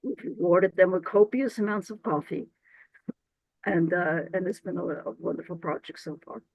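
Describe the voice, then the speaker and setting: A woman presenting a grant application to council, her voice hoarse and scratchy today, talking earnestly and restarting phrases as she goes. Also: lowish voice, moderate pace, no echo, highs cut off.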